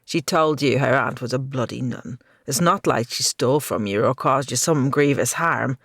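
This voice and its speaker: A reader voicing a weary court steward who speaks briskly and sounds exasperated. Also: male voice, medium pitch, vocal fry, fairly bright tone, no room sound, studio quality.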